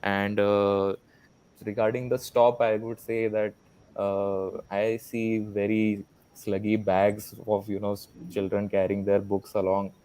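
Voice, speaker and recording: A man's voice: fairly low pitch, level tone, slow pace, darkish tone, low-quality recording. A man speaking as a panel guest in a hesitant, thoughtful manner. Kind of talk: unscripted panel discussion.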